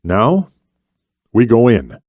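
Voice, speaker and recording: A man's voice: mid-range voice, huge pitch swings, slow pace, a little mellow, no echo, clean studio sound, full character voice. A man voicing a cool, decisive male operative in an audiobook thriller, casual and matter-of-fact.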